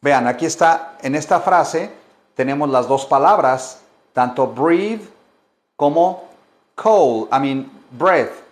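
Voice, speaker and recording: Slow, patient man drilling vocabulary. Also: on the high side, lively intonation. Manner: slow, deliberate drilling with many repetitions. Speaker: English teacher. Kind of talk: bilingual language lesson.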